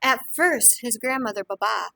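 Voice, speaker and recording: A woman reading a picture book aloud as a teacher of young children, pausing for simple explanations and questions to the listeners. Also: a little high, vocal fry, sharp tone, no room sound.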